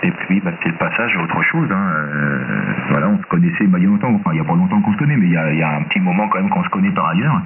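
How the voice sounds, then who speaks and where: Low-voiced Frenchman on hissy shortwave radio. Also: lively intonation, very fast, few pauses, distant mic. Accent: French from France. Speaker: amateur radio operator. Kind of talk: casual ham radio contact. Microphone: heard over radio receiver, distant. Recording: noisy narrow-band shortwave radio with hiss.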